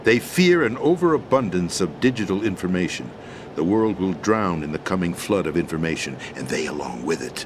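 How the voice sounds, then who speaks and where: An actor playing a commanding yet desperate middle-aged deposed president in a video game, speaking with solemn, deliberate authority. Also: male voice, low-pitched, expressive, noisy background. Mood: grave, urgent. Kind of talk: dramatic video game acting. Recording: loud music over the voice.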